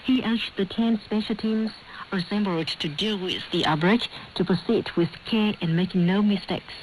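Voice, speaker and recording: A woman with a Vietnamese accent reads scripted news in a formal, measured way over a shortwave radio broadcast. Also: medium pitch, low-quality recording. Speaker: radio news presenter and host. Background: clear static noise.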